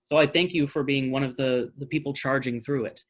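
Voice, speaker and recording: A man's voice: mid-range voice, steady tone, brisk. A man hosting an informal post-show talk in a polite, hesitant conversational way. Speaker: talkback host. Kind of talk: informal moderated talkback.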